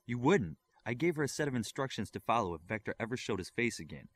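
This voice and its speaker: A man's voice: medium pitch, big pitch swings, fast. A man voicing a composed, confident male superhero leader in an audiobook, terse and assured.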